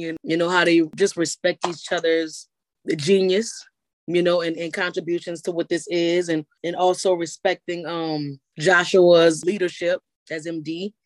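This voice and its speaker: A woman's voice: on the low side, no echo. A woman, a singer and poet answering interview questions in a loose, rambling way, often restarting her words. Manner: rambling, repeats words when starting.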